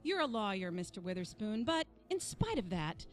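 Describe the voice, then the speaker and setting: A woman's voice: mid-range voice, very animated, normal speed, soft background music, lightly acted. An actress playing a cool, shrewd female detective in a scripted soap drama, pointed and sarcastic. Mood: cool, cutting.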